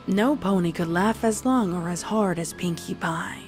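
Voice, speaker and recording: Low-voiced woman reading a dark story. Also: moderate pace, faint music behind. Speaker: story narrator. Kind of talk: scripted dramatic narration of a fan fiction horror story.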